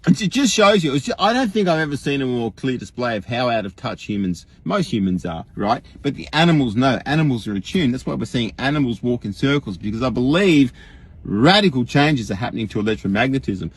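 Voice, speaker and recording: A man puts on an earnest Australian guru addressing his online followers. The voice is husky and swings widely in pitch. The delivery is folksy and emphatic, rambling along as a livestream rant full of rhetorical questions. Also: expressive, a little fast. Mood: incredulous, mocking. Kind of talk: mock livestream monologue.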